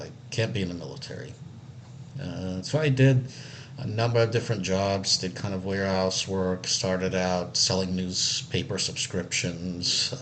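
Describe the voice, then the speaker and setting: Low-voiced man telling his story. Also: slight background noise. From Israel. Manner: relaxed and meandering, many fillers and restarts. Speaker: accessibility analyst. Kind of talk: casual personal story.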